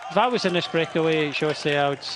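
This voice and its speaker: A man co-commentating a cycle race, relaxed and rambling, giving rider's-eye tactics. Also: a little high, a little fast, crowd noise, background noise. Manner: relaxed, self-deprecating, rambling. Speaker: cycling co-commentator. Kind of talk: live race commentary chat. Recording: commentary over loud race ambience.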